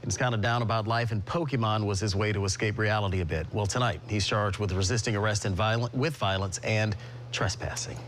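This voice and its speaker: A man's voice: lowish voice, steady tone, a little fast, a bit bright, slight background noise. A man with an American accent reading scripted television news as an anchor. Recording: music under the voice. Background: news music.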